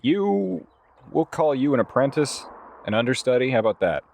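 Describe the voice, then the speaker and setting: A man improvising as a tabletop game player, talking deliberately with some hesitation. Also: medium pitch, big pitch swings, vocal fry, darkish tone, slight background noise. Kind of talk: improvised actual-play game talk.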